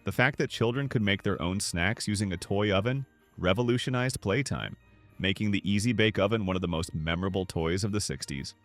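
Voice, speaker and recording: A man's voice: fairly low pitch, fairly quick, no echo, faint music behind. A man reading scripted nostalgic documentary narration.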